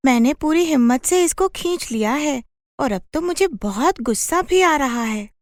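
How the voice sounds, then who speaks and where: Woman doing a big sister kid in a cartoon, high pitched, very animated, earnest and a bit exasperated. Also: normal speed, no room sound, clean studio sound. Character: dutiful young girl, exasperated big sister and daughter. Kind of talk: children's cartoon story voice acting.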